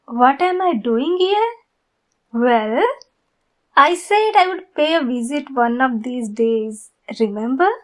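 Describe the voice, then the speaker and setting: High, unhurried female voice for ASMR roleplay: actress as a lovesick stalker fan, soft and cooing, sweetness with menace underneath. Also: animated. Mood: sweetly possessive. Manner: soft, cooing, slow and deliberate, often breathy whispering. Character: obsessed flirty yandere woman fan, sweetly menacing stalker. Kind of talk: intimate ASMR roleplay acting.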